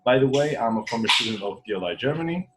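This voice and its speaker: A man's voice: mid-range voice, animated, fast talker, very noisy. A man who is a former student, introducing the program and asking questions with frequent hesitations. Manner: hesitant, many "um"s.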